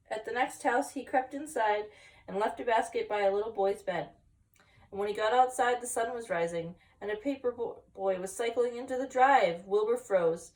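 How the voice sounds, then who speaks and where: A woman with a fairly high voice reads a picture book aloud for children. She tells it expressively and drops in chatty little asides. She sounds a bit away from the microphone. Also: distant mic, low-quality recording. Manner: expressive storytelling with chatty asides to young listeners. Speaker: story time reader, teacher.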